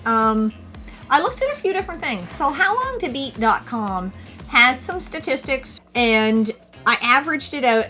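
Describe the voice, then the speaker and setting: A woman's voice: on the high side, sharp tone. A woman in her forties co-hosting a casual chat, laying out figures and averages. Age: 40s. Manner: chatty, lays out figures and averages. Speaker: co-host of a retro gaming channel.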